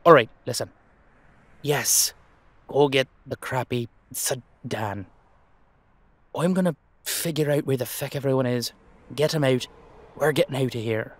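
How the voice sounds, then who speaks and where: A man's voice: medium pitch, a little slow, long pauses, no echo, close to an everyday voice. A man playing a protective, brotherly dullahan with an Irish accent, reassuring and colloquial in an audio drama.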